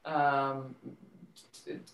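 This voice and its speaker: A woman's voice: very deep voice, flat delivery, very slow, dull audio. A woman speaking conversationally as an interview guest who directs and produces theater, stressing points by repeating words. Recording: roomy, echoing sound.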